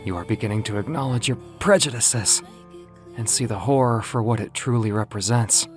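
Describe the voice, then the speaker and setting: Over music, a man acts out an ancient winged muse, solemn and impassioned. The figure delivers grave, deliberate speeches in the theatrical manner of an audio comic drama. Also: mid-range voice, close to an everyday voice. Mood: earnest, persuasive. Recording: music behind the voice.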